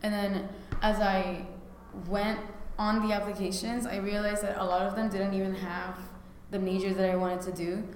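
A woman's voice: medium pitch, level tone, normal speed. A young woman from Venezuela, a guest in an interview, chatty, often laughing and saying "like". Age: young adult. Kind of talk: casual interview. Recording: echoey hall with faint noise.

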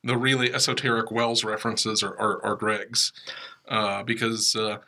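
A man's voice: on the low side, level tone, moderate pace, pushed and bright. An American man co-hosting a podcast, explaining things casually with wry digressions. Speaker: podcast co-host and writer. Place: podcast studio.

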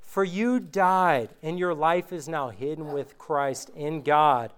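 A man preaching an informal sermon, reading scripture aloud slowly and conversationally, his voice worn from recent illness. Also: on the high side, smooth. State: recovering from illness, voice going.

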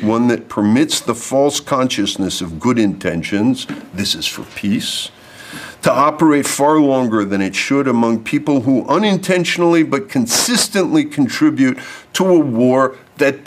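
A man delivering a prepared lecture to an audience, reading formal text and adding off-script asides. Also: mid-range voice. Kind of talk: prepared speech read to an audience.

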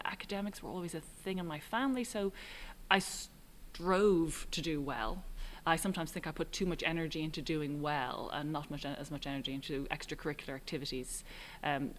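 A middle-aged Irish woman, a writer and director, answering interview questions in a thoughtful, halting way with frequent ums. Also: sits low, a little hiss or hum. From Ireland. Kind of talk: relaxed reflective interview answers.

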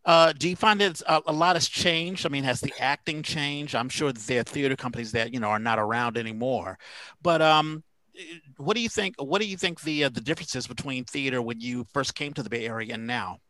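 A man's voice: mid-range voice, brisk, sharp tone, no echo, poor audio. A man working as a podcast host, asking interview questions in a casual way.

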